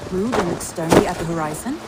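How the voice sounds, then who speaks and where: A woman's voice: lowish voice, fast, lightly acted. An actress playing a bold, teasing, mock-formal Norse clan woman in a video game. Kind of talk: video game dramatic acting. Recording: noisy rain and outdoor ambience behind.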